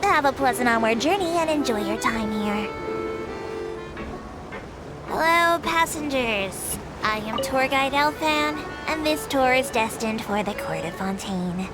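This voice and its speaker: A small creature tour guide from a video game, with a highish voice and a formal, courteous delivery. She makes announcements in precise, scripted phrases with a dry, matter-of-fact edge. Loud music plays under the voice. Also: lively intonation, a little slow, low-quality recording. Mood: composed, dryly candid.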